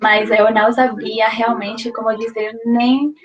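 A woman's voice: fairly high pitch, little pitch movement, unhurried, crowd noise. Young colombian woman, spanish accent in portuguese, stops and restarts a lot, dull webcam sound. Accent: Spanish-speaking Colombian. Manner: hesitant, many restarts and fillers, laughs easily. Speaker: guest, Portuguese learner. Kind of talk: casual interview. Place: small room at home.

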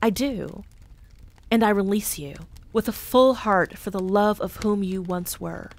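A woman voicing a young woman who speaks softly with quiet sorrow and resignation. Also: mid-range voice, a little slow, gravelly. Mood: sorrowful. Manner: soft, quiet.

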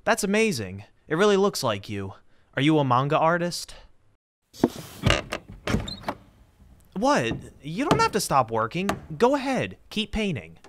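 Man playing an anxious, lonely teenage schoolboy, animated delivery. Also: fairly high pitch, normal speed, voice pushed up. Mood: eager, awkward. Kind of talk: dramatic manga reading.

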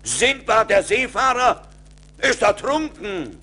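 Give the tale's ship captain, a high, forceful voice that declaims dramatically. He is agitated and exclamatory, speaking slowly, as on an old audio-play record. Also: very animated, exaggerated voice. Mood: bitter, accusing. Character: agitated male ship captain in an Arabian tale. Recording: vintage vinyl radio-play recording.

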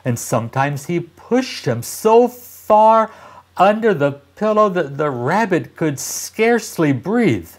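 A man in his sixties reading a children's story aloud expressively, with deliberate pauses and dramatic emphasis. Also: high-pitched, sing-song. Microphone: lapel mic.

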